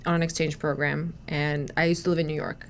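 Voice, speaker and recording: A woman's voice: deep voice, level tone, a little fast, slight background noise. A woman in her thirties from Croatia, speaking English, chatting casually as a podcast guest with lots of fillers and restarts. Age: 30s. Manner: chatty, frequent restarts and "you know" fillers. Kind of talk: casual conversational storytelling.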